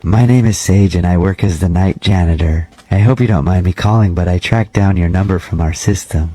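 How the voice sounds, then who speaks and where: Man putting on a soft, creepy, low-voiced gym janitor. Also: a little fast, breathy edge. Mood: soft, oddly polite. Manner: polite, hesitant, unsettlingly earnest. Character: soft-spoken, creepily friendly male gym night janitor.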